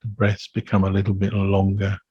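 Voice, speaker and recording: A man leading a guided meditation as a Buddhist teacher, calm and unhurried, leaving gentle pauses between phrases. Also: low-pitched, husky, lo-fi sound.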